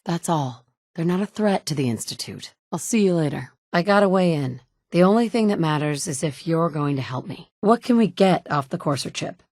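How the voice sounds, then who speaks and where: A woman's voice: on the low side, darkish tone, dry recording, clean studio sound. A woman voicing a blunt, pragmatic survivor in a video game, speaking in terse, matter-of-fact lines. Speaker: player character in a video game.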